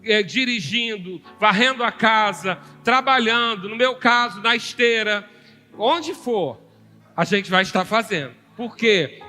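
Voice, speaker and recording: Brazilian male pastor, high and very bright voice, teaching sermon in a reverberant hall. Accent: Brazilian Portuguese. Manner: teaching, calling for "amém" from the congregation.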